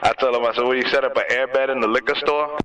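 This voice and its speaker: A man's voice: medium pitch, fairly even intonation, quick pace, lo-fi sound. A man chatting casually on a radio, using slangy, teasing talk. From New York. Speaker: CB radio operator. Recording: noisy, distant-sounding two-way radio transmission.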